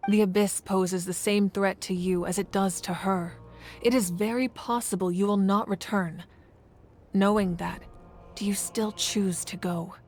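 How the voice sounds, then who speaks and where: A woman playing a grave, patient war leader in a video game, speaking in a measured, deliberate, explanatory way. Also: mid-range voice, steady tone, darkish tone, a little hiss or hum, close to an everyday voice. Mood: solemn. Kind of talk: dramatic video game acting.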